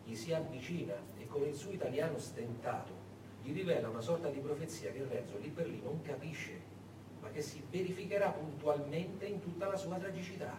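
A man narrating a story on stage in a dramatic, theatrical way, with deliberate pauses. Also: a little high. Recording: echoey room, far mic, faint noise.

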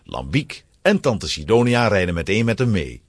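A man's voice: lowish voice, big pitch swings, moderate pace, no room sound, studio quality. A man narrating a children's adventure story in a dramatic, scripted storytelling style.

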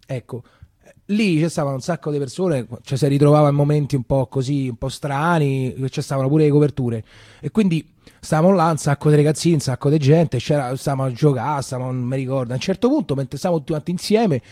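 Man acting Roman storyteller, wartime memories, higher-pitched voice, theatrical monologue, halting dialect phrasing, dry sound. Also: dark tone, dry recording, natural voice. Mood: animated, urgent. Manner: colloquial, halting, repeating himself. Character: Roman man recalling his wartime boyhood, emotional and animated.

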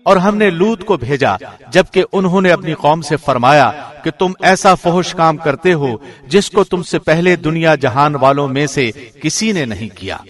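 Guy reading scripture translation like a radio presenter, bit high voice, formal and deliberate. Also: animated.

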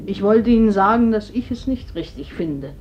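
A woman's voice: mid-range voice, lively intonation, moderate pace, lightly acted. An actress playing a sorrowful, kindly old village woman in a radio drama, confiding hesitantly. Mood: sorrowful, gentle. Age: older. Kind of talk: classic German radio drama acting. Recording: dull, faintly noisy old radio recording.

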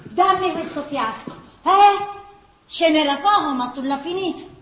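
A woman's voice: high-pitched, animated, measured pace, a bit bright. A woman acting a weary, anxious wartime woman in a radio play, plaintive and exclaiming. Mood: exasperated. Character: weary, anxious, thirsty woman struggling home through war. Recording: roomy with faint noise.

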